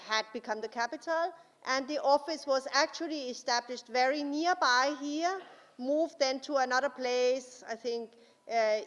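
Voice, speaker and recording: A woman with a Danish accent gives a formal speech in a large conference hall, her voice fairly high and her delivery slow and careful. Long reverb trails each phrase, and she often pauses with small fillers. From Denmark. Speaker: guest speaker.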